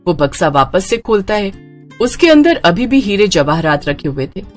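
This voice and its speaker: A woman narrates a horror story with dramatic, scripted delivery. Her mid-pitched voice moves in a lively, animated way as she tells the tale. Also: moderate pace, soft background music.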